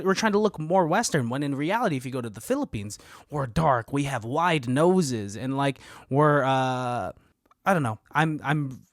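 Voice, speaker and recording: A man's voice: medium pitch, no echo, clean studio sound. A young man co-hosting a podcast, talking casually and candidly and laughing easily. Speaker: podcast co-host.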